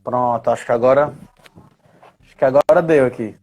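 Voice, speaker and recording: Mellow Northeastern Brazilian man chatting. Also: mid-range voice, brisk. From Ceará, Brazil. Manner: chatty, frequent tag questions seeking agreement. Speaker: guest, history teacher and educational coordinator. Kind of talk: casual live chat, explaining.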